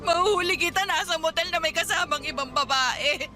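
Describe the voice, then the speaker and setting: A hurt, angry young Filipina-accented woman in a radio drama, her voice very high and tearful. She accuses and pleads in repeated questions, with music clearly playing behind her. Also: brisk, pushed and bright. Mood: wounded, crying.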